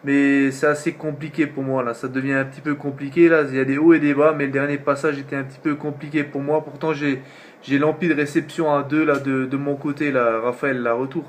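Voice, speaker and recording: A man's voice: mid-range voice, flat delivery, brisk, a little hiss or hum. A man from northeastern France, a radio operator chatting casually on air, often repeating himself and tagging his phrases. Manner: repetitive, with frequent tag words ending phrases. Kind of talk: casual radio contact chat.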